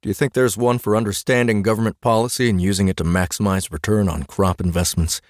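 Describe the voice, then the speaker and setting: Bookish married man acted by a male narrator, deep, earnest, faintly exasperated, fantasy audiobook dialogue. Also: fairly even intonation, fast, no echo, clean studio sound, natural voice.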